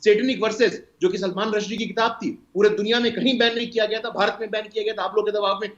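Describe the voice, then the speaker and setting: A man's voice: high-pitched, fairly even intonation, fast talker, fairly bright tone. An Indian man speaking as a party spokesperson in a televised political debate, emphatic, lecturing and argumentative. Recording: roomy, dull lo-fi sound.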